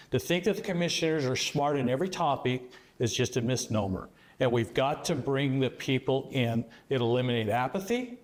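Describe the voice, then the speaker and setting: A male candidate with a middle-pitched voice answers at a debate. He speaks earnestly and turns straight to the voters. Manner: earnest, speech-like, direct to audience. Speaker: county commission candidate.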